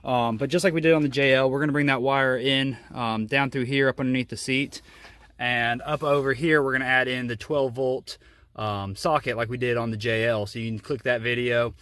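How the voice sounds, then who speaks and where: A man's voice: mid-range voice, steady tone, fairly quick, a bit bright, clean tone. A man hosting a casual how-to video, explaining step by step as he demonstrates. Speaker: host of a DIY vehicle video.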